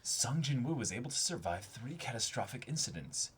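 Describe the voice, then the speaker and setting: A man's voice: mid-range voice, very animated, brisk, a bit bright, a little hiss or hum. A man co-hosting a comic read-along stream, reading dialogue aloud and reacting casually in a jokey, mock-dramatic, sweary way.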